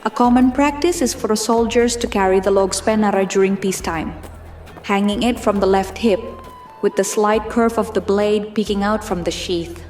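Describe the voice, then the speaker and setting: A woman narrator giving a measured, formal scripted reading of fantasy lore, in documentary style. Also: medium pitch, darkish tone, reverberant, faint music behind.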